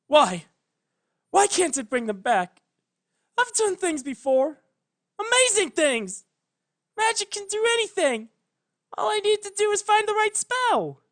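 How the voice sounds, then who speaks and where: A man's voice: extremely high pitch, sing-song, unhurried, pauses often, a bit bright, voice pushed up, full character voice. A man voicing a grieving young pony princess, pleading and emotional, in a dramatic story reading. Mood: anguished, desperate. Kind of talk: dramatic fan fiction reading.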